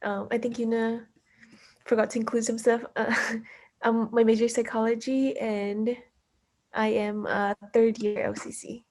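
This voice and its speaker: A woman's voice: fairly high pitch, level tone, a little slow, darkish tone. A woman from Brazil working as a student assistant casually introduces herself on a video call.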